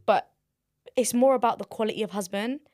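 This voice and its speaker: A woman, hosting a casual interview in a chatty, animated way and acting out little quotes. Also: a little high, brisk, dry recording, clean studio sound. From Somalia. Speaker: podcast host.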